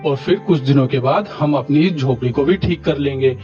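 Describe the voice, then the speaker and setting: A man's voice: medium pitch, brisk, darkish tone, dull audio, faint music behind, lightly acted. Man playing a humble cartoon village husband. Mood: excited. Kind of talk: cartoon story dialogue.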